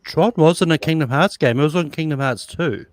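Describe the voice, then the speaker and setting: A man's voice: a little high, normal speed, no room sound, clean studio sound. A man in casual debate, correcting others with a stammering delivery.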